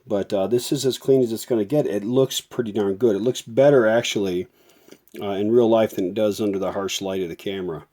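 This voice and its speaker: A man with a fairly low, dark and very mellow voice hosts a hands-on repair demonstration. He talks casually and without hurry, thinking aloud as he works. His way of speaking is plain and folksy. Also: fairly even intonation, brisk. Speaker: host of a vintage audio repair channel.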